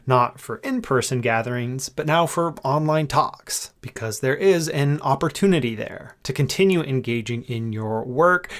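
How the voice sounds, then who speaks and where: A man hosting a podcast and coaching in a casual, conversational way. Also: medium pitch.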